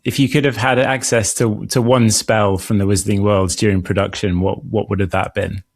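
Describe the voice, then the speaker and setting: A man hosting an interview in a polite, measured and slightly hesitant manner. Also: lowish voice, steady tone. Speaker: host.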